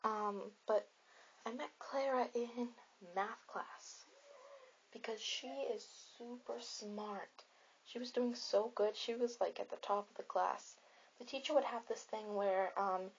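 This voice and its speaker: A woman playing a friendly popular teenage girl in an ASMR roleplay, chatting softly and reassuringly in a rambling, casual way. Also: medium pitch, steady tone, a little slow, breathy edge, lo-fi sound. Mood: chatty, friendly.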